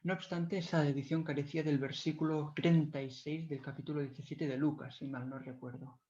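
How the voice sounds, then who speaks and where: Catalan-native male lecturer, slightly high-pitched, reverent and careful, muffled lo-fi audio. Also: fairly even intonation, warm tone. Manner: measured, devout, corrects himself often. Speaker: video lecturer. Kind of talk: explanatory talk on church history. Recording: dull lo-fi recording.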